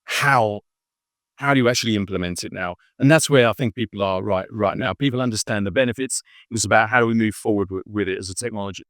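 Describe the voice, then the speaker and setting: A man from England, speaking as a corporate marketing director in a relaxed trade show interview, explaining fluently with plenty of "you know.". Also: on the low side, quick pace, no echo, studio quality.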